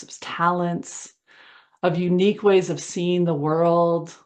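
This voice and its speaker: A woman leading a guided meditation in a soothing, conversational way, speaking in short phrases with pauses between them. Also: fairly low pitch. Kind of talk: guided meditation, gentle improvised visualization.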